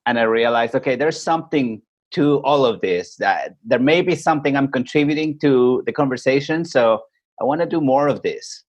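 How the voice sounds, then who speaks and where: A man from Peru, a podcast guest calling in remotely, telling his stories in a reflective, unhurried way. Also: medium pitch, expressive. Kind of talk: casual interview chat.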